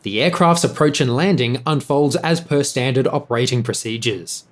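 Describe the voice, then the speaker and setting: A male narrator reads a scripted documentary piece at a medium pitch. His delivery is measured and factual.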